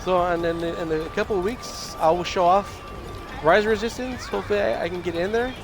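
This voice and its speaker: A high-pitched man streams a loose, rambling chat, his voice scratchy and nearly gone. He restarts his sentences often as he talks. Game music plays clearly behind him. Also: a little fast. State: hoarse, losing his voice. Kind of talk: casual livestream chat. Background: video game music.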